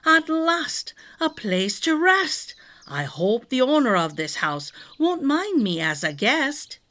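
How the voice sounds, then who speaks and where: Woman voicing an animated, high-pitched little leprechaun man. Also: slow pace, exaggerated voice. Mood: weary, hopeful. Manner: exclaiming, courtly rhyming speech. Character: weary, grateful little old-world leprechaun man with beard.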